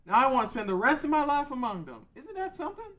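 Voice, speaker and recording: A woman's voice: sits high, big pitch swings, fast talker, a bit bright. A woman playing a contented, kind-hearted shop dweller in a table read of a fan script. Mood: contented, excited.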